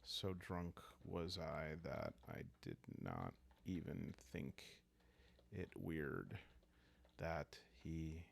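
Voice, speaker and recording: Low, slow, gravelly man musing aloud. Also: big pitch swings, slight background noise. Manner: hesitant, musing, thinking aloud. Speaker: solo roleplaying game host. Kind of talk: calm ASMR-style improvised storytelling.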